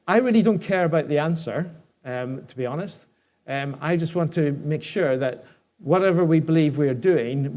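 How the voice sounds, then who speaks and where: A man giving a conference presentation as an executive, speaking haltingly and often restarting his words and phrases. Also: fairly high pitch, warm tone. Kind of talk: conference presentation with audience questions.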